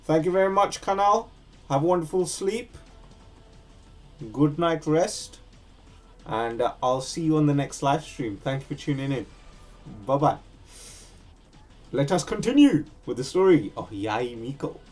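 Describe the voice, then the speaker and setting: A man giving casual, low-key commentary on a game playthrough, muttering as he goes. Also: animated, unhurried, long pauses, darkish tone, faint music behind. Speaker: gaming streamer.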